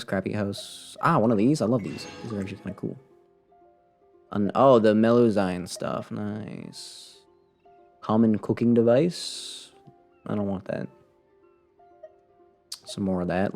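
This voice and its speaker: A man's voice: on the low side, darkish tone. A man giving casual live commentary as a game streamer, rambling and muttering to himself with playful sarcasm.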